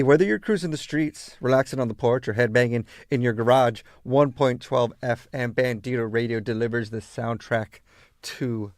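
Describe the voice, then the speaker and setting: An American man from East Los Angeles hosting a laid-back radio show, talking to listeners in deliberate, halting phrases. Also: lowish voice, darkish tone, no echo, clean studio sound. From East Los Angeles, United States. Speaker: radio host.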